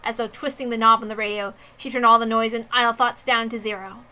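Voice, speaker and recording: Female narrator for dramatic visual novel reading; high, crisp-edged bright tone. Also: fairly even intonation, fast talker, faint music behind. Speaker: narrator, reader.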